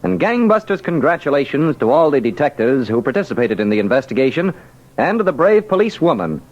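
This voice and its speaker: A man's voice: medium pitch, very animated, quick pace, darkish tone. A man working as a radio announcer, reading formal scripted copy in 1940s style. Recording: dull old radio recording.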